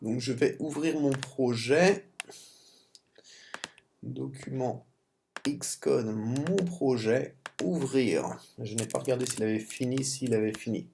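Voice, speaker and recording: Man with a cold, halting French tutorial. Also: mid-range voice, vocal fry, a little mellow, slight background noise. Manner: halting, stumbles and restarts words. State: has a cold. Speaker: software tutorial presenter. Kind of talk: screen-recorded coding tutorial.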